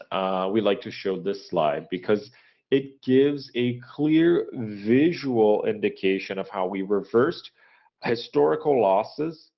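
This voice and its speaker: Low-voiced man on a dull conference call. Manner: halting, measured business delivery with frequent hesitations. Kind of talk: corporate earnings call presentation and question answering, unscripted.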